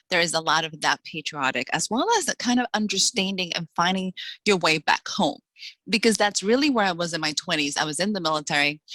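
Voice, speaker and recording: A woman in her forties from the Dominican Republic, talking quickly and cheerfully as a guest author in an interview, laughing now and then. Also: mid-range voice, sharp tone, no echo. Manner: quick, chatty, laughs often. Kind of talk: casual interview chat.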